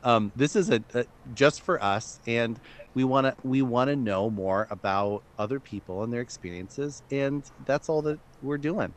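A man co-hosting a podcast, who chats in a relaxed way with plenty of fillers and a few laughs. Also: medium pitch, normal speed. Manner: relaxed, chatty, many fillers. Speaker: podcast co-host. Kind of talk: casual conversation.

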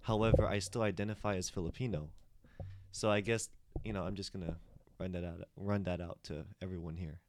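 A man's voice: low voice, fairly even intonation, a little fast, a little mellow, slight background noise. A man who grew up in the States talking loosely in a group discussion, full of fillers and restarts. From United States. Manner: rambling with many restarts and fillers. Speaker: discussion participant.

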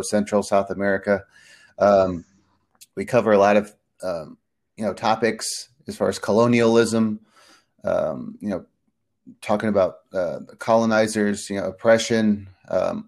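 Gravelly low-voiced male podcast guest. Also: darkish tone. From Peru. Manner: earnest, talkative, many fillers. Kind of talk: casual interview chat.

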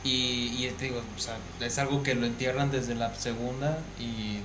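A man with a Mexican accent chatting casually, slangy and animated as he retells scenes. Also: medium pitch, moderate pace, reverberant, a little hiss or hum, low-quality recording. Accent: Mexican Spanish. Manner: slangy, animated storyteller who acts out scenes. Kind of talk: casual chat about an anime series.

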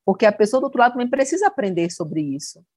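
A woman's voice: mid-range voice, very animated, quick pace, mellow, dry recording, studio quality. A woman content creator in casual conversation, speaking earnestly and reflectively, often quoting what others said to her.

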